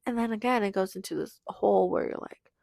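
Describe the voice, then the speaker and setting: Teen girl podcast host, hoarse and raspy, middle pitch, chatty and rambling. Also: quick pace, a little mellow, dry recording, clean studio sound. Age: teenager in high school. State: hoarse, losing her voice. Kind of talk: casual confessional monologue.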